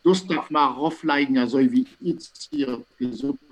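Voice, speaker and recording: Fairly high-voiced insistent man. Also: big pitch swings, gravelly. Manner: insistent, stressing importance, halting restarts. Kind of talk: casual conversation.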